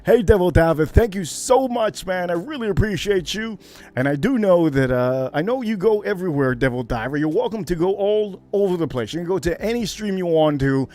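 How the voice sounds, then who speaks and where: A man's voice: high voice, lively intonation, a little fast, darkish tone. A man hosting a casual livestream, rambling with lots of fillers and greeting viewers by name. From United States. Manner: rambling, many fillers, reads out viewer names. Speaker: livestream host.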